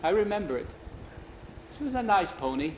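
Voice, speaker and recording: Male storyteller as a blunt older boss, broken English, exclaiming, pitch rising, echoey dull recording. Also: sing-song, brisk. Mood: wistful. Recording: echoey, dull lo-fi, faint noise.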